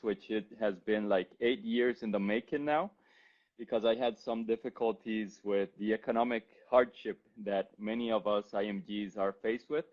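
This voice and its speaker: A man in his thirties with a Costa Rican accent, answering interview questions politely and somewhat formally over a video call. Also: lowish voice. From Costa Rica. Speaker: interview guest, physician. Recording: dull, lo-fi call audio.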